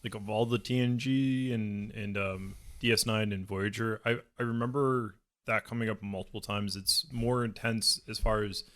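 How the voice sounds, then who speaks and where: A man's voice: fairly low pitch, fairly even intonation, normal speed, hardly any pauses, fairly bright tone. A man co-hosting a podcast in casual, joking chat with friends. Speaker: podcast co-host.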